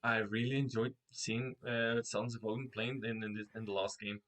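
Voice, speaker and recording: A man's voice: medium pitch, flat delivery, normal speed, a bit bright. A man casting esports with English as his third language, speaking hesitantly with many restarts and laughs. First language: not English. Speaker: esports caster. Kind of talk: casual esports commentary.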